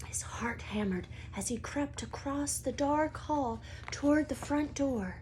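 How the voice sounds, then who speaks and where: A woman reading a children's book aloud as a storyteller, dramatic and expressive, sometimes hushed for suspense. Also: sits high, measured pace, a little hiss or hum. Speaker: read-aloud storyteller, teacher.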